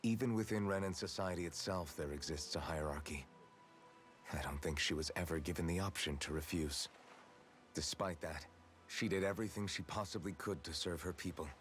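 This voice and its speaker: An actor playing a guilt-ridden, earnest young fantasy warrior in a video game, speaking in a solemn, measured way. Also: male voice, low-pitched, a bit bright, faint music behind. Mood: guilty, solemn. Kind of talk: dramatic video game voice acting.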